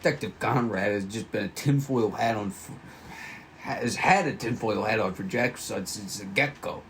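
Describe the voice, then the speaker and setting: Man playing a creaky-voiced gossiping cop, slightly noisy. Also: lowish voice, normal speed, natural voice. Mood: wary, scornful. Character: lazy, gossiping male police officer, sentimental and scornful. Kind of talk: amateur table read of a script. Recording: noisy room recording.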